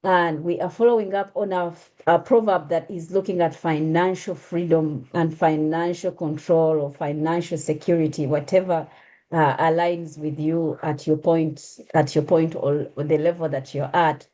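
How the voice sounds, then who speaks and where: A woman's voice: deep voice, steady tone, moderate pace, mellow. A Ugandan woman hosting an interview, talking with frequent fillers and restarts as she introduces her guest and asks questions. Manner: hesitant, many uh fillers and restarts. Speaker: host. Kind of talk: conversational interview.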